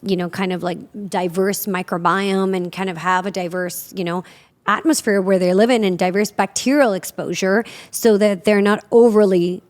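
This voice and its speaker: A woman in her forties, originally from Pakistan, hosting a casual interview in a chatty, loose way with plenty of "like" and "you know". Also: medium pitch. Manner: chatty, loose, lots of fillers and "like". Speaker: podcast host.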